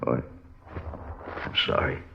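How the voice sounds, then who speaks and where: A male actor playing a troubled, rough American sailor in jail in a 1950s radio drama, speaking in a halting, plaintive, remorseful way with an American accent. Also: sharp tone. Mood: broken, resigned. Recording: dull, faintly noisy old radio broadcast.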